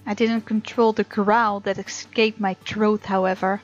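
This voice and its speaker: A woman reads visual novel narration aloud as a gamer would, informal and expressive. Her mid-pitched voice comes through a slightly dull mic, with game music playing behind it. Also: unhurried. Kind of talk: reading game narration aloud with casual commentary.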